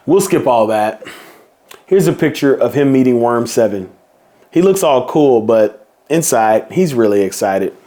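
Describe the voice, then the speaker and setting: A man reading a children's picture book aloud in a slow, playful storybook manner. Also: medium pitch, animated, warm tone.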